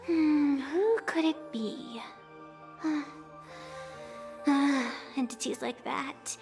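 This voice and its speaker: A woman's voice: high-pitched, extremely slow, creaky, darkish tone, exaggerated voice. A woman voicing an ancient, playful sacred tree spirit who referees games in a video game, speaking in a theatrical, wry way. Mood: wry, exasperated. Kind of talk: video game story voice acting. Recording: long reverb, faint music behind.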